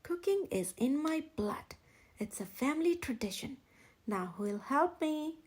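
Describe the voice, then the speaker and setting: A woman's voice: high voice, expressive, measured pace. A woman voicing a big brown male rooster in a storybook, fed up but proud, with an emphatic, exclaiming manner. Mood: proud, determined.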